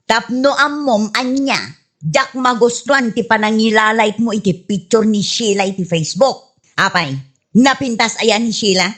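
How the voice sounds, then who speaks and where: A woman's voice: a little high, moderate pace, a bit bright. A woman playing a jealous, quarrelsome middle-aged wife in an Ilocano comedy radio drama, petulant and scornful, with a Filipino Ilocano accent. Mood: jealous anger.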